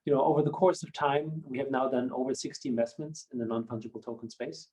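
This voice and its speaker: A German native speaker in his fifties, from Austria, talks in a measured, reflective way and hedges often. His voice is mellow and warm, and its pitch sits in the middle range for a man. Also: steady tone, poor audio. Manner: measured and reflective, many hedging fillers. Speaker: company founder and guest. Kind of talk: podcast interview.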